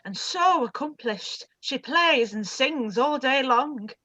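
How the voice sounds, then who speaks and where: A woman's voice: high-pitched, expressive, slow pace, exaggerated voice, low-quality recording. A woman voicing a chatty, old-fashioned housekeeper who is proud of her master, in a live-read radio play. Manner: chatty, warm, old-fashioned servant speech.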